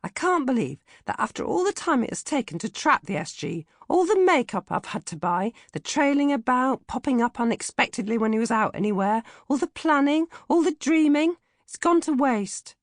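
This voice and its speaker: A woman's voice: sits high, very animated, normal speed, hardly any pauses, no echo, clean studio sound, voice pushed up. A woman voicing a melodramatic, self-pitying fourteen-year-old British girl in a comic audiobook diary, complaining theatrically. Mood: lovelorn, wailing. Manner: exaggerated, indignant, theatrical complaining. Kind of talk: comic first-person audiobook diary narration.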